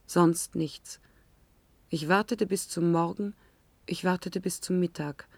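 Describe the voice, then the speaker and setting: An actress playing an anguished, isolated young woman who narrates her ordeal in a German science-fiction radio drama, deliberate and dramatic. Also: fairly low pitch, fairly even intonation, creaky, breathy edge, no room sound, exaggerated voice. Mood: bleak, resigned.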